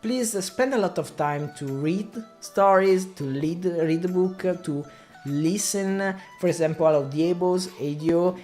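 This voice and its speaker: A man's voice: high voice, unhurried, darkish tone, soft background music. A man from Italy with an Italian accent, a native Italian speaker talking casually to camera in non-native English, often stopping and restarting as he explains his work as a media buyer. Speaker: digital marketing media buyer.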